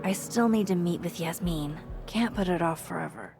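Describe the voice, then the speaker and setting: A game heroine's inner thoughts. Her voice is on the low side, understated and pensive, with music clearly behind it. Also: moderate pace, darkish tone. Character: pensive woman quietly thinking aloud to herself. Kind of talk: video game inner monologue.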